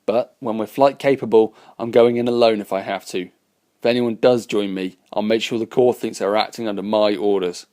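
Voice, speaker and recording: A man's voice: lowish voice, fairly even intonation, normal speed, mellow, close to an everyday voice. Man playing a determined starship captain. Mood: resolute. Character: battle-worn male starship captain, determined.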